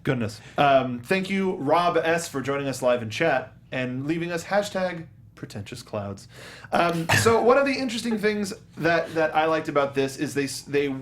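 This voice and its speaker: A man's voice: a little high, big pitch swings, moderate pace. A man co-hosting a casual panel talk about film, who often restarts and repeats phrases as he thinks aloud. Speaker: talk show co-host.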